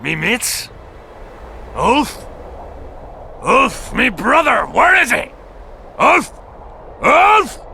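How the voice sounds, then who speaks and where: A boisterous, muddled drunk soldier for a video game. His words slur and ramble, crude and loud, with pitch leaping about wildly and a bright, forceful edge. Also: huge pitch swings, drawn-out words, creaky, pauses often, slight background noise, heavily acted. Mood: loud, agitated. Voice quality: slurred.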